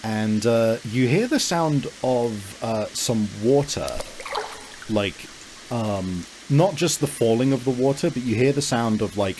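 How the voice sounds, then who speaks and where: A man improvising scene descriptions as a game master, halting as he searches for words. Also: medium pitch, lively intonation, darkish tone, slight background noise, poor audio. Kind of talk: improvised tabletop game narration.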